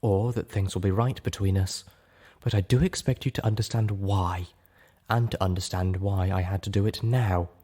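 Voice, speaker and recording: A man's voice: low voice, fairly even intonation, moderate pace, warm tone. A man voicing a cautious, hurt but obstinate female pony in a dramatized audiobook, speaking carefully and insistently. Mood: cautious, pleading.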